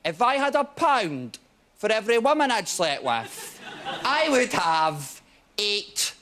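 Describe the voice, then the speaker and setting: A Scottish man in his twenties doing a stand-up set on stage, telling stories quickly and with punchlines. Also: sits high. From Scotland. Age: 20s. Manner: quick, animated storytelling with punchlines. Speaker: stand-up comedian. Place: TV studio stage before a live audience. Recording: audience laughter and crowd noise.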